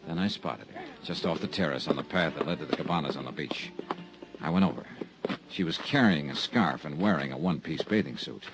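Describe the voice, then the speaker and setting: An actor playing a wisecracking insurance investigator in a 1950s radio drama, also narrating. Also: male voice, rumbling low, brisk, background noise. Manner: dry, wisecracking, laconic.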